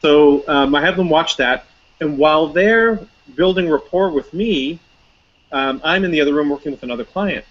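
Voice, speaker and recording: A man's voice: fairly high pitch, moderate pace, fairly bright tone, highs cut off. A man talking casually as an interview guest, chatty and anecdotal, with many fillers and restarts. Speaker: hypnotist, guest.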